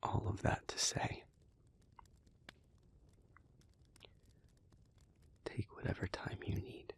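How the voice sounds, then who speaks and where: Guy acting as a gentle logical demon, deep gravelly half whisper, careful halting formal speech, intimate audio rp. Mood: calm, reassuring. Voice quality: breathy, hushed, gravelly. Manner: measured, deliberate, halting, formal wording. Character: earnest, analytical male demon, gently devoted and restrained. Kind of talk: intimate scripted audio roleplay.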